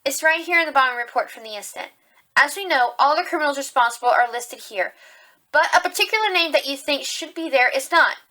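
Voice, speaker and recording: A woman's voice: sits high, animated, pushed and bright. A woman acting a determined young rabbit police officer, arguing assertively in a courtroom audio drama. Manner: assertive, deliberate, argumentative.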